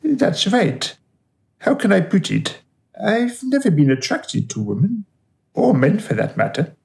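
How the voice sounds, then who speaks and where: A coolly unrepentant male killer, played by a man in a radio drama. His voice crackles with gravel and takes its time, with darkly relishing swings in pitch. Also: sing-song, a little slow, darkish tone, exaggerated voice. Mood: wary, matter-of-fact. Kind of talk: radio play acting. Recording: studio radio play.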